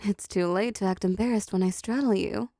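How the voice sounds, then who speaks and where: A woman playing a seductive, possessive female demon in an intimate roleplay audio drama, talking slowly and teasingly to the listener with condescending endearments. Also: mid-range voice, steady tone, warm tone, no room sound, clean studio sound, lightly acted. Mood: doting, teasing.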